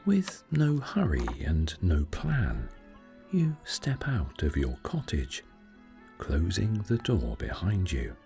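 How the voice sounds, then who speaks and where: Deep, very slow soothing male meditation narrator. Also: wildly swooping pitch, creaky, dark tone, soft background music. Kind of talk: sleep meditation story narration, scripted.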